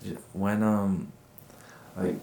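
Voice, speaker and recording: A man interviewing an artist in a relaxed way, his questions full of restarts and trailing words. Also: on the low side, very slow, gravelly. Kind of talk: casual interview. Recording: roomy with faint background noise.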